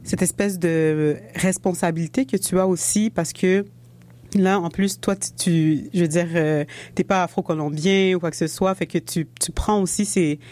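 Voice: mid-range voice, steady tone, moderate pace, a little mellow. An interviewer asking thoughtful, probing questions in a conversation.

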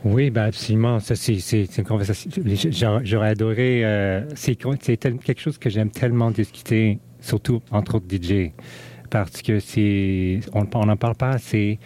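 Hesitant low-voiced man, mellow tone. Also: fairly even intonation, normal speed, slight background noise. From Canada. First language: English. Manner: hesitant, many fillers and restarts. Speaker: DJ guest. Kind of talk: interview conversation.

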